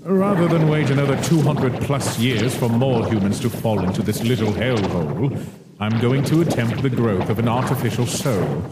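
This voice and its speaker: A man playing a cold, condescending monster scientist obsessed with his work, speaking formally and deliberately in a dramatic comic dub. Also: medium pitch, heavy background noise, lightly acted. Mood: cold, dismissive. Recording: echoey hall reverb, music behind.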